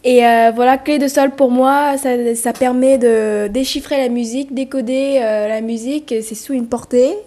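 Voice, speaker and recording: A woman's voice: high voice, steady tone, normal speed, hardly any pauses, mellow. A young woman, a musician and brand founder, answering interview questions hesitantly and earnestly. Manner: hesitant, many fillers, earnest. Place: TV studio.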